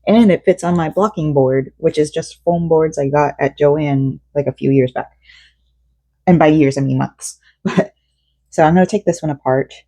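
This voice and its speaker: A young woman vlogs from home while she crochets, tired and rambling, with lots of fillers and self-corrections. Her tone is mellow and soft, and the recording is close and dry with no room sound. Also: low voice, dry recording. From South Korea, raised in Florida. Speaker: vlogger. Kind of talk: casual personal vlog chat while crocheting.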